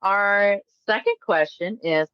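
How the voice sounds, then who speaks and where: A woman's voice: mid-range voice, takes it slowly, clear, resonant tone, dry recording, dull audio. Dry-sounding woman podcast host. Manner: affirms often with short yeahs, asks questions. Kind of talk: casual chat.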